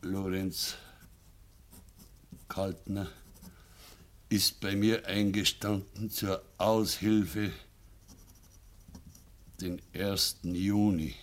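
An actor playing a stern, embittered farmer and father in a Bavarian dialect radio play, gruff and deliberate. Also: low-pitched, fairly even intonation. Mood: heavy, resigned.

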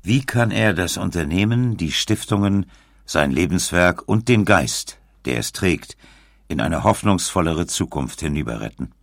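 A man narrating a scripted documentary in a sober, measured way. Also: deep voice, animated, vocal fry, no echo, clean studio sound.

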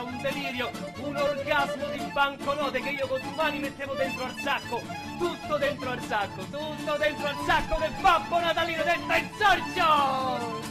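An actor playing an excitable, streetwise Roman small-time robber, telling his story as a theatrical radio drama monologue in slangy dialect. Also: very high-pitched. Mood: excited, boastful. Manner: slangy, vulgar, theatrical storytelling with shouts and hushed asides. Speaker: actor narrator. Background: music under the voice.

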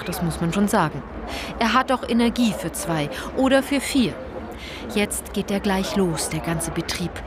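A woman's voice: mid-range voice, lightly acted. A woman playing an earnest, opinionated guide narrating a radio play, conversational and insistent, speaking directly to the listener. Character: earnest female guide narrating postwar history, opinionated and exasperated. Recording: clear background noise.

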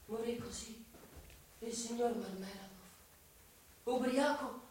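A woman's voice: on the high side, takes it slowly, darkish tone, slight background noise. A woman narrating a tragic radio play as a solemn storyteller, with scripted dramatic delivery. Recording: very echoey, distant from mic.

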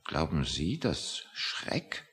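A man's voice: very deep voice, sing-song, extremely slow, a bit bright, full character voice. An actor in a satirical radio play playing a pompous, fretful male civil servant with a querulous, suspicious manner. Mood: brooding, suspicious.

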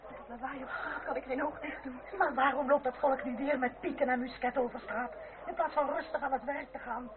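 Anxious aristocratic woman, played by an actress, high and exclamatory, period radio drama, tinny narrow-band sound. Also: fairly even intonation, a little fast, lightly acted. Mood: fretful, nervous. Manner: fretful, exclamatory. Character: anxious aristocratic madame and her frightened young female companion. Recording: old narrow-band radio play, noisy crowd behind.

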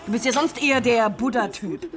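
An actress playing a defiant young East German dissident in a radio drama, argumentative and cuttingly sarcastic. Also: female voice, on the high side, lively intonation, fairly quick, faint music behind, close to an everyday voice. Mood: bitter sarcasm.

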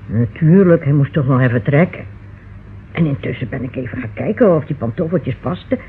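An actor playing a homely, chatty and slightly flustered character in an old radio crime drama, speaking hesitantly. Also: male voice, mid-range voice, fairly quick, faint music behind, close to an everyday voice. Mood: chatty, defensive. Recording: tinny, noisy old radio recording.

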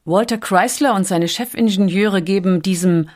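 A woman narrating a documentary in a calm, even storytelling manner. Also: mid-range voice, moderate pace.

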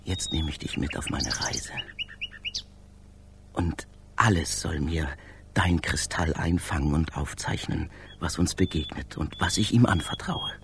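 An actor playing a dreamy, fervent poet-inventor and space traveller in a literary radio drama, with a theatrical, poetic delivery. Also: male voice, low-pitched, gravelly, a little mellow, slight background noise, exaggerated voice. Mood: determined, exalted.

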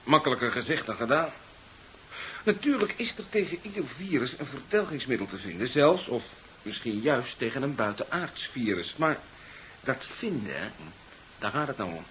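An actor playing a gruffly stubborn, pragmatic doctor and scientist in a 1970s science fiction radio play, blunt and colloquial. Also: male voice, mid-range voice, big pitch swings, normal speed, fairly bright tone. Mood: measured explaining. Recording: noisy, dull 1970s radio recording.

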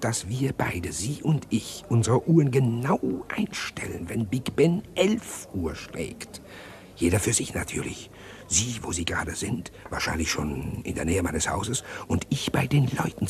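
A man acting a scheming, respectable middle-class husband in a German radio crime drama, speaking precisely and insistently in a husky voice. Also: fairly low pitch, big pitch swings, measured pace. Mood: insistent, conspiratorial. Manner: precise, insistent, well-spoken.

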